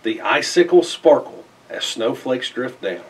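A middle-aged man reads a rhyming children's picture book aloud in an expressive, theatrical way with emphatic phrasing. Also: mid-range voice, unhurried, slightly rough, recorded from a distance. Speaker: storybook reader. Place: indoors in front of a festive backdrop.

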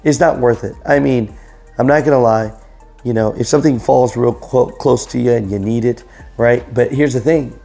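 A man's voice: medium pitch, normal speed, creaky, mellow, faint music behind. A man in his thirties hosting a trucking news show, talking casually to camera from his living room on a lapel mic, chatty and addressing viewers directly. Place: living room, seated on a couch. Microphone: clip-on lapel mic.

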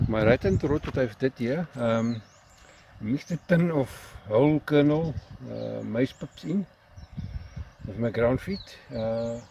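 A middle-aged South African man casually explaining his fishing setup step by step, outdoors by a dam. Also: mid-range voice. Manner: relaxed, explains step by step with fillers. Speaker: fishing vlogger. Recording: dull lo-fi with loud outdoor noise.

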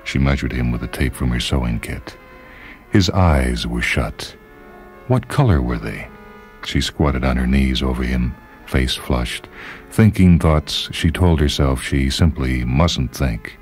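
A male story reader with a remarkably deep bass voice. He delivers scripted literary narration with drama, and music plays softly behind him. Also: a little slow.

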